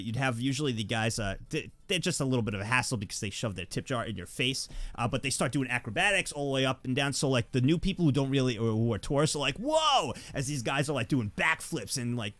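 Young NY-accent man, bright lively storyteller, home stream mic. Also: medium pitch, fast talker, hardly any pauses, no echo. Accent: New York. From New York. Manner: animated storyteller, laughs often. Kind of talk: casual group chat.

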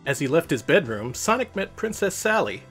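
A man hosts a storybook reading. His voice sits a little high and moves around a lot as he narrates, with music behind him. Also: big pitch swings, moderate pace, fairly bright tone. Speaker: host reading a picture book.

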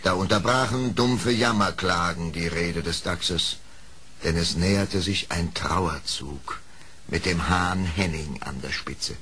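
A man's voice: low voice, takes it slowly, sharp tone, slight background noise. A man narrating a children's radio play in a scripted storybook style.

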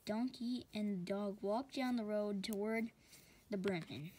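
Woman reading a children's storybook, haltingly. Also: mid-range voice, mellow, a little hiss or hum.

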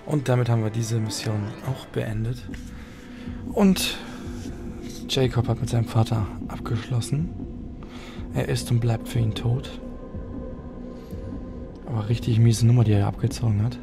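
A man's voice: mid-range voice, fairly even intonation, a little slow, gravelly, pauses often, music in the background. A man giving casual let's play commentary in a laid-back, musing manner.

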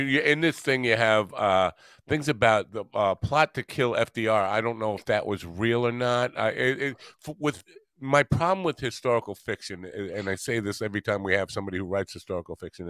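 An older man hosting a podcast interview in a casual, halting, self-deprecating way, speaking into a studio microphone. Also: lowish voice, fairly bright tone, clear, resonant tone, no room sound. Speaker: podcast host. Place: indoor home studio. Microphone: close studio mic on boom arm, headset.

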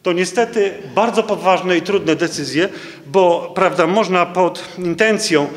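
A middle-aged man stands at a stand microphone and gives a conference talk in a high-pitched voice. He reads legal passages aloud and stumbles through fillers and restarts between them. His words ring back through a large, reverberant hall. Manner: halting, with many fillers and restarts, reading legal text aloud. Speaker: doctor giving a conference talk. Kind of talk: conference lecture reading out court rulings. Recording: echoey hall, long reverb.

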